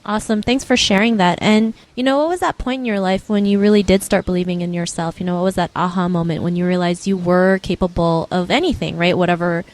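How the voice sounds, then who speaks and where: A woman's voice: fairly low pitch, steady tone, quick pace, hardly any pauses. Upbeat affirming woman podcast host. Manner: upbeat, affirming, many "you know" fillers. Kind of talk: podcast interview hosting.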